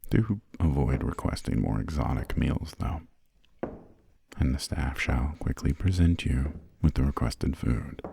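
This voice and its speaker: A man playing an imperious, aristocratic vampire lord in a whispered ASMR roleplay, with formal, haughty, old-fashioned phrasing and a breathy murmur. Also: dark tone, slight background noise, full character voice. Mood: formal, commanding. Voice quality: breathy, gravelly low murmur. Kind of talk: whispered ASMR roleplay, slow scripted monologue.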